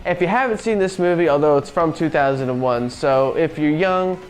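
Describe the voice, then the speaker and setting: A man's voice: on the high side, brisk, soft background music. A young man hosting a casual video review show, reading out facts and riffing on them offhandedly. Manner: chatty, offhand, reads facts then riffs. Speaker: podcast host.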